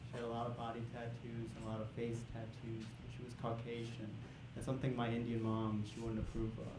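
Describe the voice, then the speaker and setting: A young man works a comedy club stage with a handheld mic. He riles up the crowd, then slides into low, deadpan storytelling. The sound rings around a roomy space with steady audience noise underneath. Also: fast. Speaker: stand-up comedian. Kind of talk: stand-up comedy set.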